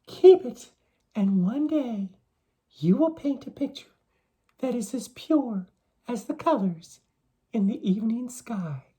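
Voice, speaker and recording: A storybook reader voicing a gentle young maiden from a dream vision, giving solemn instruction in a soft, measured way. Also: mid-range voice, expressive, husky, pitched above the performer's own voice.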